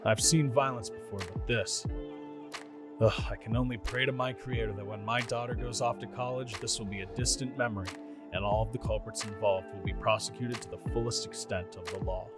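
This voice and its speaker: A man's voice: fairly low pitch, steady tone, brisk, over loud music, natural voice. A man playing an exasperated middle-aged police officer reading out an official report in a radio play. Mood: weary, appalled. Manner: official, dry, flustered asides. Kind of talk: scripted radio play monologue, formal report.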